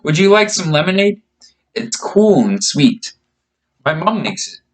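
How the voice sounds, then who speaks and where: Man reading as a friendly young girl, higher-pitched. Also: very animated, measured pace. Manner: chatty, explaining simply.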